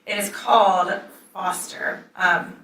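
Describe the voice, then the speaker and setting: A soft-spoken woman judge moderating a law school debate, opening with remarks and reading from a ruling, with many ums. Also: medium pitch, fairly even intonation, slow, slight background noise. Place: lecture hall. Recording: echoey hall, mic at a distance.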